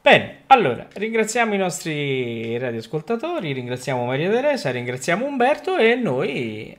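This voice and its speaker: A man's voice: a little high, big pitch swings, normal speed, fairly bright tone. A man hosting a radio show in a casual, conversational style. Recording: clearly audible noise and clicks.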